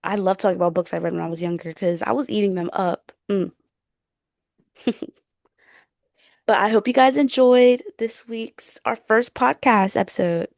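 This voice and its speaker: Young woman podcast host, creaky voice. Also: medium pitch, steady tone, moderate pace, a little mellow, no room sound, clean studio sound. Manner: chatty, informal, slangy. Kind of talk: casual podcast chat.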